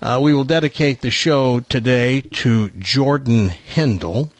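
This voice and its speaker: Male radio host, husky, conversational and wry, close dry sound. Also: medium pitch, a little slow, no room sound. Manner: joking, rambling, conversational. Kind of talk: casual talk show chat.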